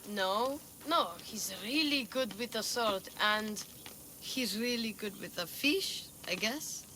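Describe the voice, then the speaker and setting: Casting: streetwise game child, cheeky and defiant, slight noise behind. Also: female voice, on the high side, animated, takes it slowly, sharp tone, exaggerated voice. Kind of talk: video game cutscene acting.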